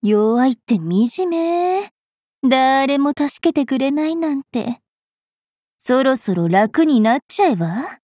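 A woman playing a cruel, wilful young female fairy knight in an anime game, with a haughty, taunting, rough-mouthed delivery. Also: sits high, animated, slow, a little mellow, dry recording, studio quality, heavily acted. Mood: mock pity. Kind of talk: anime mobile game voice lines.

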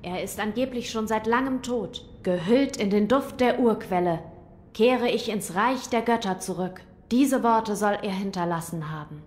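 A woman's voice: moderate pace, darkish tone, lightly acted. A woman in an audio drama playing an earnest, resolute young noble boy with a grave, formal way of speaking. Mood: solemn. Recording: long hall reverb, faint rumble.

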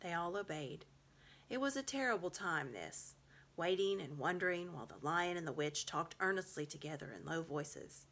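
Woman, thirties, expressive storybook narration, crisp bright tone, slightly low pitch, home recording. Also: normal speed. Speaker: storybook reader. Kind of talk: expressive read-aloud narration. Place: small room at home.

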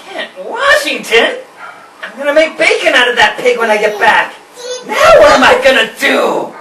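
A woman's voice: high voice, sing-song, normal speed, distant mic, noisy background. A woman voicing an anxious male rooster who talks in a hesitant, stammering way, in an amateur home puppet show. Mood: flustered. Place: indoor room with a puppet stage. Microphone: far camera. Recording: roomy home video from afar.

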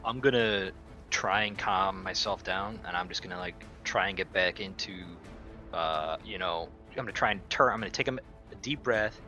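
A man's voice: sits low, moderate pace, sharp tone, dull audio, soft background music. A man chatting casually as a player in a tabletop game, sweary and joking.

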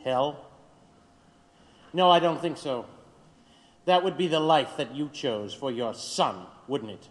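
An actor in an audio drama playing a cruel, officious government interrogator, with a deliberate, sneering, commanding delivery. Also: male voice, fairly high pitch. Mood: mocking. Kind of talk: dramatic audio play acting. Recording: long hollow reverb.

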